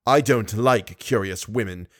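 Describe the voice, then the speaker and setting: A man's voice: on the low side, unhurried, fairly bright tone. A man voicing a furious, possessive male monster who taunts and demands in an audiobook story. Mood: menacing.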